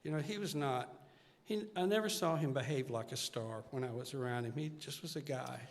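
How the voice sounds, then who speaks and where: A man's voice: mid-range voice, brisk. An older man, a singer-songwriter, chatting casually and earnestly as an interview guest on stage. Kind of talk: casual onstage interview chat. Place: stage of a live music show. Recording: reverberant hall, music behind.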